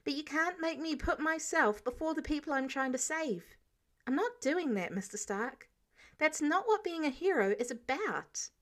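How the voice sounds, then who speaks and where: A woman's voice: sits high, animated, moderate pace, a bit bright, pitched above the performer's own voice. A woman voicing a stubborn, earnest teenage boy who argues his case in an audio story. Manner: earnest, argumentative, cheeky.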